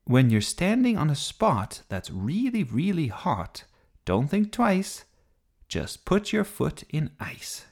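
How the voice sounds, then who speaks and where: A man's voice: fairly high pitch, wildly swooping pitch, slow pace, a little mellow, voice pushed up, full character voice. A man voicing a bossy, dramatic little girl at play in a children's storybook, grandly theatrical in manner. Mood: bossy, instructing. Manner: grandly theatrical, mispronouncing big words.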